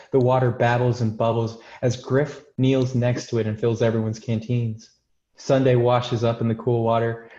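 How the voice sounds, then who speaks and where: A man's voice: medium pitch, a little mellow, muffled recording. Male narrator in an echoey room. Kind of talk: scripted narration of screenplay action.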